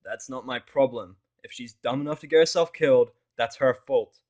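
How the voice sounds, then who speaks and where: A man's voice: mid-range voice, normal speed, darkish tone, dull audio, close to an everyday voice. A man playing a bitter, foul-mouthed, callous human man in a fan fiction audio drama, blunt and snapping. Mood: callous, flat.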